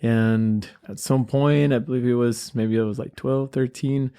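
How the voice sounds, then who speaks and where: A man with a Colombian accent speaking in an easygoing interview chat. His voice is smooth and resonant, at a middle pitch. He talks thoughtfully, pausing and restarting as he finds his words. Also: fairly even intonation, mellow. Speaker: guest musician.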